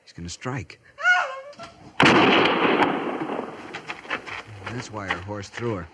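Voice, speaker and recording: An actor playing a stiff young American cavalry lieutenant with a formal military manner in a 1950s radio western. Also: male voice, fairly low pitch, a little fast, close to an everyday voice. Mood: urgent. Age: young adult. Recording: noisy vintage 1950s radio transcription.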